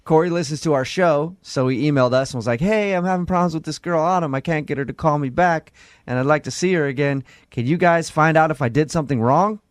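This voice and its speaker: A man's voice: fairly high pitch, fast, no room sound, clean studio sound. A man hosting a morning radio show, chatting casually and jokingly. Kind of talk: casual radio prank-call chat.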